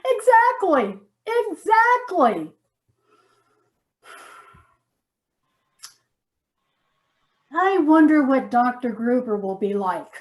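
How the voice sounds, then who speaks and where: Actress as a high-pitched frustrated, nagging wife. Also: female voice, expressive, normal speed, a little mellow, close to an everyday voice. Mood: sarcastic, frustrated. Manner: reproachful, emphatic, sarcastic. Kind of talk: comic readers theater sketch.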